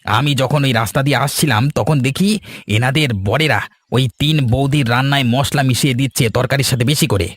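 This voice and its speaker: A man's voice: mid-range voice, brisk, lightly acted. A man playing a busy, helpful and honest village organizer in a cartoon. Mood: respectful. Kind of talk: Bengali village cartoon voice acting.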